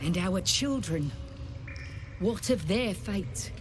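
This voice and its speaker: A grave, slow-spoken monster hunter. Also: female voice, mid-range voice, expressive, noisy background. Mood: accusing. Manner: measured, grave, accusing. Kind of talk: dramatic video game acting.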